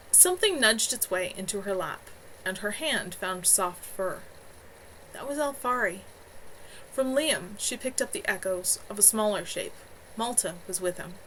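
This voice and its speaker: A woman's voice: mid-range voice, a bit bright, poor audio. Woman author, reading her novel aloud, earnest, slight stumbles, faint background hum. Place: at home.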